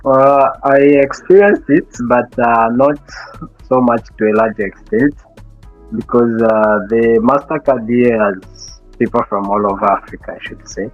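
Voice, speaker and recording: A young man from Zambia answers interview questions in a measured, halting way, often pausing to restart a thought. His voice sits at an ordinary pitch and sounds dull and lo-fi over a dry remote call, with faint music underneath. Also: no room sound. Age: young adult. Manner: thoughtful, many hesitations and restarts. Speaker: guest. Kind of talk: casual interview talk.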